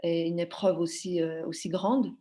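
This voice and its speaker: A woman's voice: lowish voice, steady tone, moderate pace, mellow. A woman from Belgium, a podcast guest, talking in a hesitant conversational way with many fillers and restarts. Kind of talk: conversational interview.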